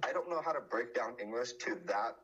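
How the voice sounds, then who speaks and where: A man's voice: medium pitch, animated, a little fast, muffled recording, crowd noise. A man chatting casually as a podcast guest, often restarting his sentences. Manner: hesitant, many restarts and fillers.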